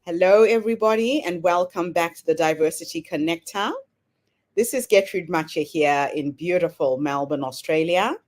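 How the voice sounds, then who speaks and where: A woman hosting a podcast, reading a scripted welcome and then greeting her guest in a measured, welcoming way. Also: on the low side. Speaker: podcast host. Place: Melbourne, Australia.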